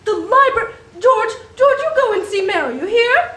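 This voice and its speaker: A woman's voice: very high voice, expressive, normal speed. A woman playing an outspoken, teasing small-town family woman in a radio play. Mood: teasing, insistent. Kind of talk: stage radio play acting. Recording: echoey theatre, faint noise.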